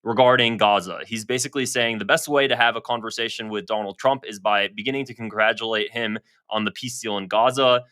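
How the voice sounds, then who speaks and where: A man's voice: lowish voice, steady tone, fairly quick, hardly any pauses, bright, forceful tone, smooth. A man talking casually to his viewers as a political commentator, conversational, sometimes stumbling over and repeating his words. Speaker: online political commentator and host. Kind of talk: casual news commentary, reading quotes aloud to viewers.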